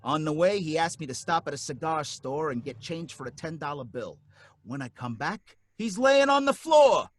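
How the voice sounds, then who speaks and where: A jittery, slangy cab driver. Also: male voice, fairly high pitch, normal speed, dry recording, soft background music. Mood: rattled recounting. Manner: excitable, pleading, folksy slang. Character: jittery working-class male cab driver. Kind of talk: old-time radio drama.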